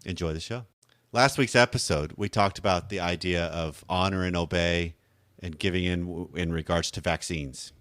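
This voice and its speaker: Deep-voiced, slightly hoarse male podcast host. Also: fairly even intonation, normal speed, fairly bright tone, no room sound. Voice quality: deep radio voice. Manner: conversational, rambling, self-correcting. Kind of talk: casual podcast talk.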